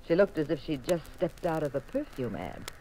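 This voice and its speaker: Wry, low-voiced detective's wife. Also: female voice, very animated, normal speed, heavy background noise. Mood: wry storytelling. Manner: wry, storytelling. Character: wry detective's wife telling her adventure. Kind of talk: 1950s radio drama narration.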